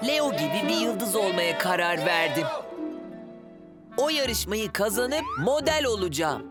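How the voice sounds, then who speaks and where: A woman's voice: medium pitch, very animated, unhurried, over music. A woman voicing a cheerful young car who dreams of stardom, in a dubbed children's cartoon. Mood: eager, dreamy.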